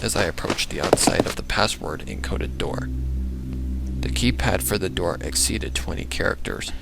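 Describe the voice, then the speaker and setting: Gravelly low-voiced man narrating a dark story. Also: moderate pace, heavy background noise. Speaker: fan fiction reader. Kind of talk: dramatic first-person story narration. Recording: voice under loud background music. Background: loud music.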